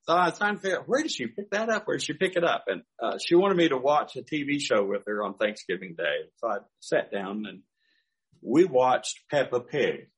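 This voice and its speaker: A man's voice: mid-range voice, lively intonation, brisk. An older man, a pastor, preaching a sermon through folksy personal stories with chuckling asides.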